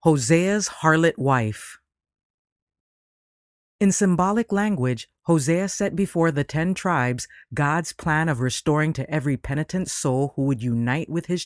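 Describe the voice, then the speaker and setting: Higher-pitched man reading devotional lesson. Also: no room sound, studio quality. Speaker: lesson reader.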